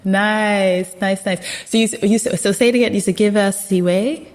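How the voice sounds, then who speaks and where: A woman's voice: medium pitch, fairly even intonation, moderate pace, a little mellow. A woman hosting a podcast, interviewing her guest in an eager, affirming way. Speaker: podcast host. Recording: long reverb.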